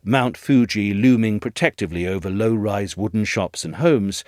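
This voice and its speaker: A man reading a scripted essay as narrator, in a measured, articulate storytelling delivery, recorded close up. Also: fairly low pitch, darkish tone, no echo.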